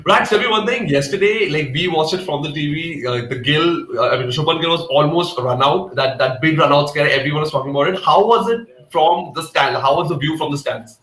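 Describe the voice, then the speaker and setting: A man hosting a casual live sports talk, chatty and quick to affirm his guests. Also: a little high, fairly bright tone. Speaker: host. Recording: echoey room on internet call.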